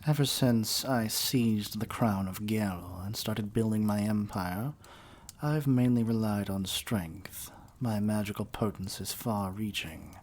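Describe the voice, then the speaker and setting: A man's voice: on the low side, a little mellow. A man acting as a haughty, menacing evil sorcerer king in an ASMR roleplay, speaking in a pompous, theatrical and condescending way. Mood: haughty, boastful.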